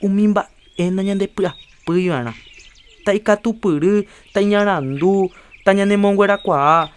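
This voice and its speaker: A man's voice: high voice, slow, smooth. A man with a Paraguayan accent playing an earnest community health promoter in an educational radio drama. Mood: rousing. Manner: earnest, persuasive, lecturing. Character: earnest adult man urging health checkups.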